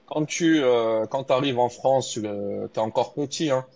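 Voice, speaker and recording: A man's voice: mid-range voice, unhurried, creaky, darkish tone, highs cut off. A man hosting an interview, asking questions in a friendly, conversational way. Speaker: podcast host. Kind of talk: casual interview.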